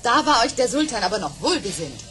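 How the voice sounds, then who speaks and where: An actress playing a wide-eyed, easily flattered woman in a radio play, playful and full of exclamations. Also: on the high side, fairly quick, soft background music. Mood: coy, flattered. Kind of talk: German radio play dialogue.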